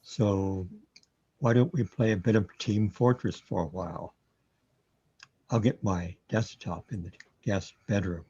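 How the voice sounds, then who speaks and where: A man playing a wry, scornful afterlife guide with a droll, deliberate delivery in a sci-fi radio drama, recorded lo-fi. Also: on the low side, fairly even intonation, dark tone, breathy edge. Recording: dull, lo-fi sound.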